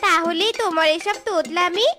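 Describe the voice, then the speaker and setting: A sassy young cartoon vixen speaks in an extremely high squeak. She is bossy, demanding and theatrical, with loud music underneath. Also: moderate pace. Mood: coy, demanding. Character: sassy, demanding, scolding young female fox. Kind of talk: cartoon voice acting.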